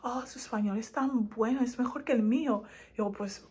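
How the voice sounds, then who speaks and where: A young woman with a Madrid Spanish accent talks casually to her camera, animated and laughing between thoughts. Her voice sits a touch high, and her delivery stays loose and chatty. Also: moderate pace, slightly rough. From Spain. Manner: animated and laughing, lively asides. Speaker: vlogger.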